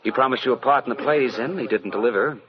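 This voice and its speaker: An actor playing a wry, jealous out-of-work actor and boyfriend in a 1940s radio drama, on a muffled old recording. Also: male voice, medium pitch, fast, lightly acted. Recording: muffled vintage radio recording, crowd behind. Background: busy crowd chatter.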